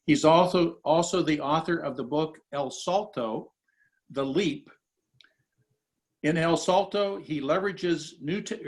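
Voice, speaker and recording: Male academic host, courteous, unhurried, a few ums, on a video call. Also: fairly high pitch, poor audio. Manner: polite, measured, hesitant with fillers. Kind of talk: hosting a virtual panel.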